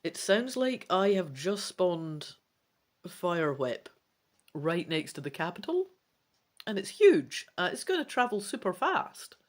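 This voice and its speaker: A woman's voice: fairly low pitch, sing-song, slow. A woman presenting a gamebook playthrough, switching between theatrical reading and chatty casual commentary. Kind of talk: dramatic reading aloud mixed with casual commentary.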